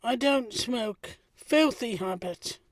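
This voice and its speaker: A woman as an unhurried, earnest young chap. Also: on the high side, lively intonation. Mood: matter-of-fact. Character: plain-spoken, kindly young man, a gardener in 1919. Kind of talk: audio drama acting.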